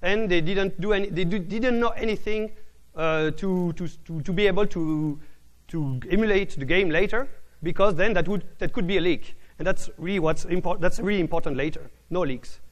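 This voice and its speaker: A man's voice: high-pitched, moderate pace. A man giving a technical conference talk, often restarting his words, with a voice he calls bored. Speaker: conference speaker.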